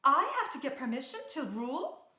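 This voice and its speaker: A woman's voice: sits high, sing-song, a little fast, bright, forceful tone, pitched above the performer's own voice, exaggerated voice. A woman voicing a stubborn, eager teenage crown princess in a storybook, speaking emphatically and earnestly. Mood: defiant. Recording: roomy indoor echo.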